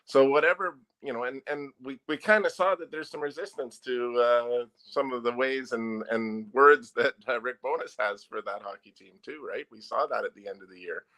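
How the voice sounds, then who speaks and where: A man's voice: mid-range voice, moderate pace, hardly any pauses, fairly bright tone, low-quality recording. A man who is a newspaper sports editor, a guest on a sports talk show, stating firm, emphatic opinions.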